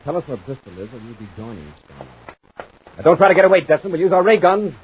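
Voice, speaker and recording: A firm space patrol commander in an old radio serial. He speaks fast and with authority, his pitch moving freely. The 1950s recording is dull. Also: big pitch swings, background noise. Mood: stern. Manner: terse, authoritative.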